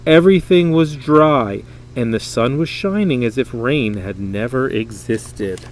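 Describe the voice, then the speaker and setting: A man reading a children's story aloud in an expressive, dramatic, emphatic way. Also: medium pitch, measured pace, a little hiss or hum. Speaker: storybook reader.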